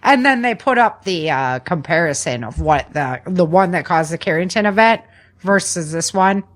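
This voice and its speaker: A woman's voice: on the low side, lively intonation, moderate pace. Casual, emphatic woman podcast host. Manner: informal, conversational, emphatic repetition. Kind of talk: casual podcast chat and news rundown.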